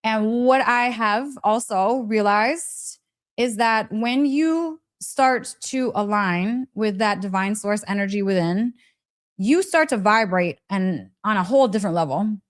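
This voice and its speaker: A woman's voice: mid-range voice, unhurried. A woman hosting a casual motivational storytelling live stream, speaking in a gushing, emphatic, chatty way, repeating phrases and addressing listeners directly. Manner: chatty, direct address with frequent check-in questions. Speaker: host of a live story session, spiritual teacher.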